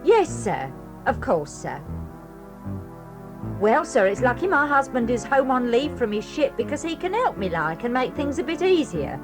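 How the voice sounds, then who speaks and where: An actress playing a spiteful, sour working-class housekeeper who threatens the children and talks with rough, ungrammatical speech. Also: female voice, on the high side, big pitch swings, moderate pace, over music. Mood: fawning. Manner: sharp and threatening, then fawning. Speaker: Mrs Stick. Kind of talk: 1980s audio drama acting. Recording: dry studio.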